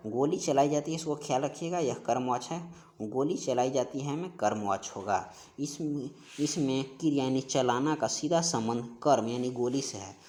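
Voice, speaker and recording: Man teaching grammar, steady explaining. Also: mid-range voice, moderate pace. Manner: explains steadily, repeats key words and answers. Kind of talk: exam-prep grammar lesson.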